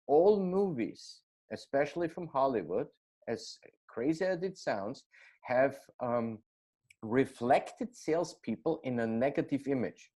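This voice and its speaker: A man's voice: medium pitch, darkish tone. Austrian guy being interviewed, thick accent, lots of uhs and restarts. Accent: Austrian German. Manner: hesitant, many fillers and restarts. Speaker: guest. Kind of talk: casual interview.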